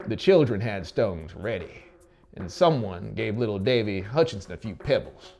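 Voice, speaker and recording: A man's voice: fairly low pitch, animated, moderate pace, a little mellow. A man in his thirties with a Southern American accent, narrating a story dramatically as an audiobook reader. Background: music.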